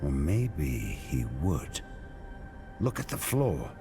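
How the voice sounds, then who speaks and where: An actor playing a grim, determined warrior hero in a video game, speaking in a terse, resolute manner. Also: male voice, very deep voice, sing-song, slow pace, creaky, music in the background, full character voice. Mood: grim resolve. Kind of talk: video game cutscene acting.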